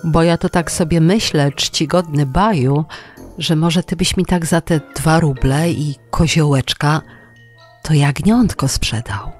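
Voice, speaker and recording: A man's voice: a little high, unhurried, soft background music, natural voice. A storyteller voicing a wily, poor male trickster who pleads in a wheedling, mock-humble way. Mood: coaxing.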